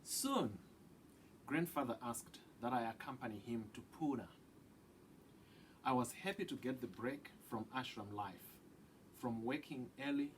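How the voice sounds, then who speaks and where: A man reading a children's picture book aloud with deliberate, dramatic phrasing that drops into hushed tones. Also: mid-range voice, slight background noise, poor audio. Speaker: read-aloud storyteller.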